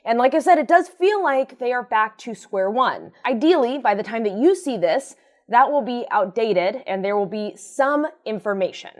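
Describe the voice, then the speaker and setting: A woman's voice: fairly high pitch, animated, normal speed, a little mellow. A woman hosting a news commentary show in an informal, conversational way, with sardonic, wry comedic asides.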